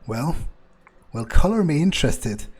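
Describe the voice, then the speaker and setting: A man doing an improvised in-character gameplay monologue, thinking aloud in a halting, musing way. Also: fairly high pitch, big pitch swings, darkish tone, breathy edge. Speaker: gamer playing in character.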